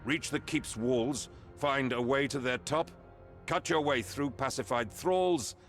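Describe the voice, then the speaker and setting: An actor playing a solemn, resolute leader of holy warriors in a fantasy game, formal and deliberate in his speech. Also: mid-range voice, fairly bright tone, natural voice. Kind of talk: video game voice acting. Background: game music.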